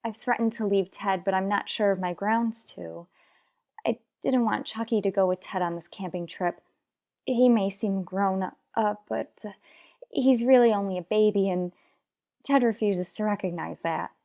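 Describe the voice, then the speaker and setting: A woman playing an anguished, unhappily married mother in a radio soap opera, speaking earnestly with dramatic pauses. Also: mid-range voice, creaky, warm tone, close to an everyday voice. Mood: troubled, pleading.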